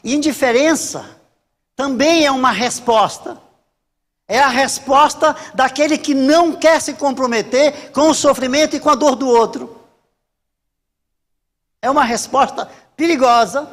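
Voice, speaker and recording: A Brazilian man delivers a church sermon in a high voice. He speaks emphatically, leaning on repetition and deliberate pauses. Long hall reverb trails his words. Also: fairly bright tone. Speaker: Baptist pastor preaching. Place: large church hall during a service.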